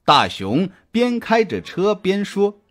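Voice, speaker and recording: A man's voice: high-pitched, wildly swooping pitch, takes it slowly, a bit bright. A man narrating a scripted suspense story as an audiobook reader, in a dramatic storytelling style. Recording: music behind the voice. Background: background music.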